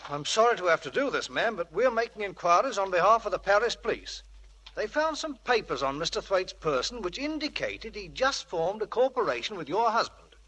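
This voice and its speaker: An actor playing a polite, apologetic police inspector, formal and deferential, in old radio drama style. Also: male voice, high voice, sing-song, a little fast, sharp tone, exaggerated voice, low-quality recording. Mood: grave, formal. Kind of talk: 1940s radio acting.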